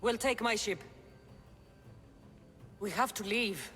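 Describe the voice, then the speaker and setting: A woman's voice: medium pitch, slow, a bit bright, big-room sound, faint music behind. An actress playing a fierce, grieving young Greek warrior woman in a dramatic video game cutscene. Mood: urgent.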